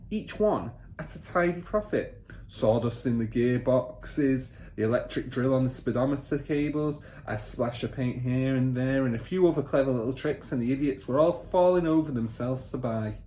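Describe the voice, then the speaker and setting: A man voicing a boastful, bullying father and crooked car dealer in a children's storybook, loud, pompous and emphatic. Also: mid-range voice, moderate pace, lightly acted. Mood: boastful, brash. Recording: roomy echo, faint background noise.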